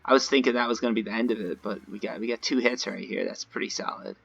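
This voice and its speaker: A low-voiced, slightly hoarse man with a raspy edge chats through a gameplay video. He rambles conversationally and speaks straight to his viewers. The recording sounds a little dull and lo-fi. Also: steady tone, fast, a bit bright. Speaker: gaming video creator. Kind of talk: casual gameplay commentary. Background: voice-over recorded over gameplay.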